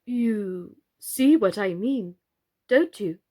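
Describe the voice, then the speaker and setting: A woman's voice: medium pitch, animated, extremely slow, dark tone. A woman voicing an anxious, hopeful male doll in a children's storybook, fretful and earnest.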